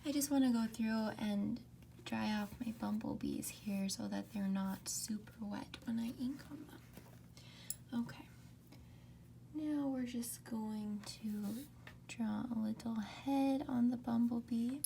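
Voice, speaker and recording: A young woman talks slowly and softly into a close mic, often slipping into a whisper as she leads an ASMR painting tutorial. Her low, mellow tone has a gravelly, fry-laced edge. A faint hiss sits under the voice. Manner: soft, often whispered, unhurried. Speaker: art tutorial host. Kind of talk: soft-spoken ASMR drawing tutorial.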